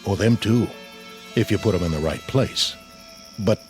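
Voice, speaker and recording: An actor playing a war-weary, humane army sergeant in a radio drama, dry and sarcastic with a folksy manner. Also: male voice, on the low side, moderate pace, close to an everyday voice. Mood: dryly sardonic. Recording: radio drama with faint music underneath.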